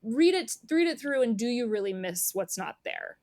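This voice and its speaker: A woman's voice: a little high, very animated, moderate pace, a little mellow, no room sound, clean studio sound. A woman speaking as an editor, explaining things in casual conversation and often acting out imagined remarks. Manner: conversational, illustrates points with imagined quotes. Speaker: magazine editor being interviewed.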